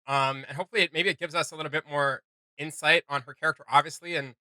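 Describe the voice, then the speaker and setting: A man's voice: fairly high pitch, fairly even intonation, fast, pushed and bright, no echo. A man co-hosting a casual reaction video, rambling enthusiastically and often searching for his words. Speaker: co-host of a reaction channel.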